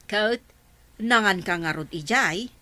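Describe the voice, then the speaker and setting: A woman's voice: medium pitch, expressive, slow, sharp tone. A woman acting an inquisitive, gossipy village neighbour in a comedy radio drama, with an Ilocano accent. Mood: nosy.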